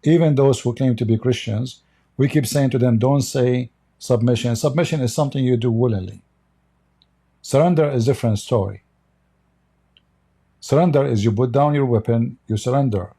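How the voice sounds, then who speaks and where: A man's voice: medium pitch, moderate pace, gravelly, dark tone, husky. A man whose first language is Arabic gives a blunt, combative commentary in English, often repeating words and restarting his sentences. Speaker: online commentator. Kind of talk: unscripted commentary monologue.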